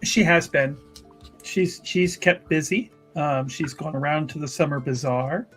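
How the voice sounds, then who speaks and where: A man playing a tabletop roleplaying game, casually improvising in a wordy, hesitant way with careful pauses. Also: on the high side, fairly even intonation, fairly bright tone, slightly rough, faint music behind. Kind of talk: casual improvised in-character recap and questions.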